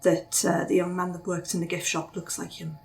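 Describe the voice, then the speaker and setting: A woman's voice: lowish voice, flat delivery, normal speed, gravelly, far from the mic, over music. Female tabletop player, off-mic, dry composed replies. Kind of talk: tabletop game play.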